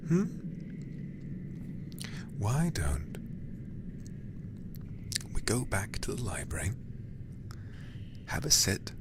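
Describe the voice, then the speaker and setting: A man voicing a possessive, controlling male lover who hushes and coaxes in a soft whisper, tender on the surface and menacing beneath. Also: fairly low pitch, sing-song, background noise, heavily acted. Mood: coaxing whisper. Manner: slow, coaxing, falsely tender. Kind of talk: audio roleplay, whispered.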